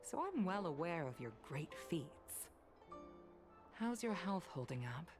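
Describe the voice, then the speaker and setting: A retired pilot game character, very low female voice, polite, composed, music behind. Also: very animated, measured pace. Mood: nostalgic, courteous. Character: dignified retired female pilot, courteous old comrade. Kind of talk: scripted video game voice acting. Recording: music under the voice.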